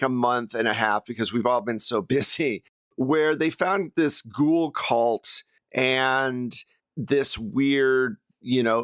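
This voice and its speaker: A man's voice: mid-range voice, a bit bright, dry recording. A man with a mid-range voice hosts a tabletop gaming chat in a dry, close recording. He rambles conversationally and poses questions in an easygoing, informal style. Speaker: host and game master of a tabletop actual-play show. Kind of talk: casual panel debrief.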